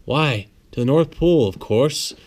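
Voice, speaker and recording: A man's voice: medium pitch, lively intonation, measured pace, darkish tone. A man voicing a hearty train conductor calling out to children in a storybook, with projected, declarative lines. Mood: inviting.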